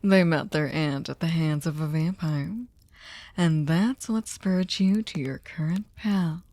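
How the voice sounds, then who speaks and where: A woman performs a possessive, manipulative female vampire for an ASMR roleplay. Her voice is mellow and fairly low, unhurried and close, with a dry sound. She teases with silky courtesy and often sinks into a whisper. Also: no echo. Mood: mocking, amused. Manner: slow, teasing, mock-polite, often dropping to a whisper. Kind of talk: ASMR roleplay audio drama.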